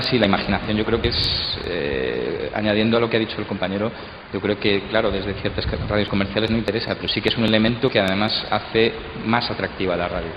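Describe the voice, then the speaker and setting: Spanish guy, lowish voice, kinda halting panel talk, boomy hall with noise behind. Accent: Spain. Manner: hesitant, repeats and restarts phrases. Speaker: radio contributor speaking in a congress debate. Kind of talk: unscripted panel discussion remarks. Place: large hall at a radio drama congress. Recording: echoey hall, dull sound, clear background noise.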